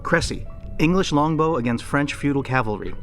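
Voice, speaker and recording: An actor playing an earnest young junior military officer in a radio drama, formal and deferential. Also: male voice, on the high side, animated, fairly quick, faint music behind. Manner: formal, respectful.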